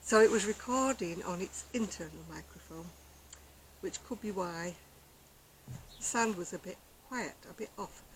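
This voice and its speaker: An older woman vlogging about her crochet, chatting casually on an outdoor deck in a quiet, soft-spoken, rambling way. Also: lowish voice, unhurried, a little hiss or hum, poor audio. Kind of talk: casual chat to camera. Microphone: external camera microphone.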